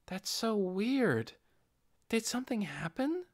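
A man voicing a worried, halting young boy in a fantasy audiobook. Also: high voice, big pitch swings, dry recording, clean studio sound, voice pushed up, exaggerated voice. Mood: puzzled, concerned. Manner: halting, uncertain.

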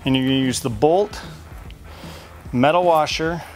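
A man in his forties in a garage workshop, explaining a rooftop tent install step by step in a relaxed, practical way. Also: fairly high pitch, a little slow, slight background noise. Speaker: off-road shop installer. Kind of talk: how-to install demonstration.

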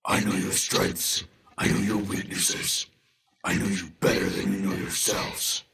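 A man voicing a menacing, evil god-of-speed villain in a gruff, gravelly, deliberate voice. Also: deep voice, monotone, fairly bright tone, faint music behind, voice pushed down, full character voice. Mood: cold menace.

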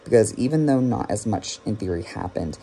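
A man's voice: lowish voice, animated, brisk, warm tone, poor audio. A young man giving a casual, rambling review to camera with wry, self-deprecating asides, recorded on a phone at arm's length in a small room. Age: young adult. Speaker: podcast reviewer. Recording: clear background room noise.

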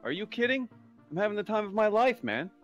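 A man's voice: sits high, very animated, a little fast, highs cut off, soft background music. A man playing an easygoing, confident creator of a digital world in an amateur sci-fi audio drama. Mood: exhilarated.

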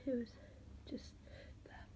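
A woman with a stuffy cold, talking slowly and half-whispering in a casual vlog, with a halting, sighing manner. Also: dark tone. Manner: slow, halting, with sighs and fillers. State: congested with a cold, stuffy nose. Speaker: vlogger. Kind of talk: casual to-camera chat. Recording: noisy background hiss.

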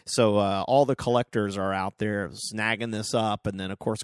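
A middle-aged man hosting a solo podcast, rambling casually with lots of stammered restarts and a slightly hoarse voice. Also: on the low side, no echo, studio quality. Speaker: podcast host.

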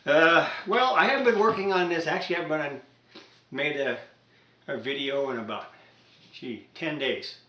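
A man's voice: on the high side, normal speed, pushed and bright. An older man chatting casually and hesitantly at a cluttered home electronics workbench, with lots of fillers as he explains his radio repair. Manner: rambling, hesitant, many fillers. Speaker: radio repair hobbyist vlogger. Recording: roomy home workshop echo.

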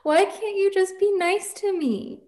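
A woman's voice: extremely high pitch, unhurried, a little mellow. A young woman playing an earnest, defiant girl who dreams of being a cowboy, in a script table read. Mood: hurt, pleading. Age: young adult. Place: room at home, on video call.

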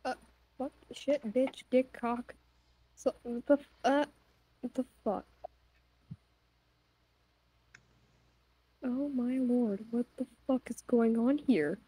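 A woman's voice: a little high, steady tone, measured pace, dark tone, slightly rough, poor audio. A woman voicing a panicked, bewildered little female jester in an amateur cartoon fan dub, stammering and repeating herself, foul-mouthed. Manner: stammering, repetitive, incredulous.

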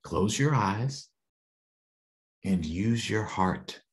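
An American man voicing a gentle, reassuring heart spirit from a picture book, slow, soothing and lilting. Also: lowish voice, lively intonation.

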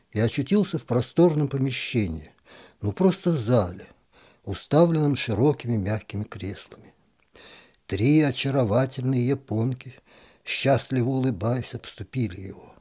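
A man reads a literary story aloud in a calm, measured voice. His tone is dark and mellow, with a husky edge, and he sometimes sinks to a near whisper. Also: medium pitch. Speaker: narrator. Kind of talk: literary audiobook reading.

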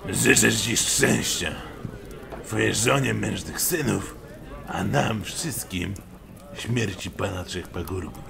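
A man's voice: lowish voice, slow, gravelly, crowd noise, full character voice. A man playing a brooding, vengeful warrior in a legend told as a comic-book radio play. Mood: menacing.

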